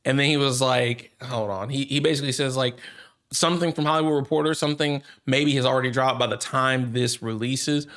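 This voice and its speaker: A man giving casual, off-the-cuff gaming news commentary as a host, rambling and halting as he goes. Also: medium pitch, steady tone, fairly bright tone.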